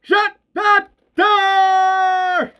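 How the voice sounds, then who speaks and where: A man's voice: very high-pitched, monotone, very slow, bright, forceful tone, voice pushed up, exaggerated voice. A man voicing a reckless, gun-loving man who bellows defiant taunts in a read-aloud action screenplay. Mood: urgent shouting.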